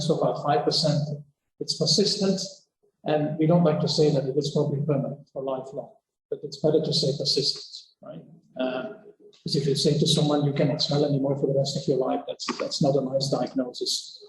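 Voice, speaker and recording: Male lecturer, a touch high-pitched, relaxed and halting, recorded in a very reverberant room. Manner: relaxed, halting, with many fillers and self-corrections. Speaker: scientist giving a workshop talk. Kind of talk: conference lecture. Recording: very echoey hall, faint background hiss.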